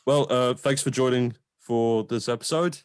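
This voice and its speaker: A man's voice: mid-range voice, moderate pace, clean tone, dry recording, studio quality. A man co-hosting a podcast in casual film chat, talking in a hesitant, rambling way and repeating himself. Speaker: podcast co-host.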